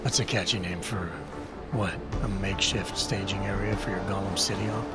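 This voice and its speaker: A low-voiced, unshakeable government agent acted by a man from a video game. He speaks in terse, deadpan lines with tight control, over loud music. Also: normal speed, close to an everyday voice. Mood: dry, challenging. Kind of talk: video game cutscene acting.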